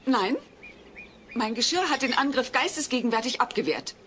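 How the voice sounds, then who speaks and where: Dry, animated, sensible mother. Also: fairly high pitch, moderate pace, a little hiss or hum. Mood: dry, stern. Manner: dry, sarcastic. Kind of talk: German comedy radio play acting.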